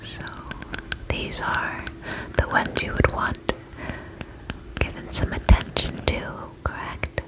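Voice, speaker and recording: Slow whispering vampire spa beautician played by a woman. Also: lightly acted, rough recording. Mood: soothing, attentive. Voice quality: breathy whisper. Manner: gentle, reassuring, slow and unhurried. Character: soothing, attentive female vampire spa beautician. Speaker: ASMR creator playing a spa attendant. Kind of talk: ASMR roleplay, whispered personal attention.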